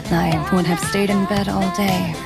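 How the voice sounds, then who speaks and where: Casting: female cyborg centaur warrior for a video game. Mid-pitched, earnest, composed, loyal, gently teasing. Sits under very loud music. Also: steady tone, measured pace, vocal fry. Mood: warm, earnest. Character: devoted, steady female cyborg centaur warrior, playfully eager. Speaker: voice actor in a video game dub. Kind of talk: scripted game character voicelines.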